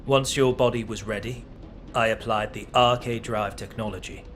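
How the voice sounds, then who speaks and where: Man acting as a low-voiced, formal, calculating scientist. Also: fairly even intonation, a bit bright, soft background music, close to an everyday voice. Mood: grave, confessional. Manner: formal, measured, deliberate diction. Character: calculating male scientist and war leader, formal, composed, remorseful. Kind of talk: dramatic video game voice acting.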